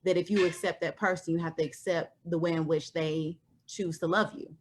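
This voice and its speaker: A woman in her thirties, a guest, talking things through thoughtfully with many pauses and fillers. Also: fairly low pitch, steady tone. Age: 30s. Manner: thoughtful, pauses with lots of ums and you knows. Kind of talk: reflective conversation.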